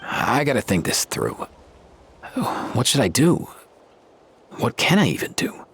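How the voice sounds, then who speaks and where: A man voicing a weary, brooding vet in a video game, with a husky voice and heavy manner. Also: mid-range voice, big pitch swings, a little slow, fairly bright tone, full character voice. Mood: troubled. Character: weary male vet weighed down by ancestral regret. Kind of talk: scripted game dialogue.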